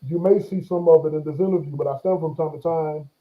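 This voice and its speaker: A man's voice: fairly high pitch, steady tone, fast. A pastor in his forties, a guest in an interview, talking in a reflective, hesitant way with many fillers and restarts, and an occasional stammer. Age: 40s. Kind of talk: conversational interview. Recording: tinny phone-line sound.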